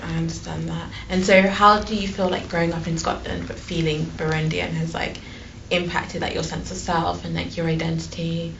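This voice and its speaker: A woman's voice: fairly low pitch, flat delivery, normal speed, creaky, fairly bright tone, muffled recording, slight background noise. A woman interviewing a student, asking questions with hesitant ums; English is not her first language.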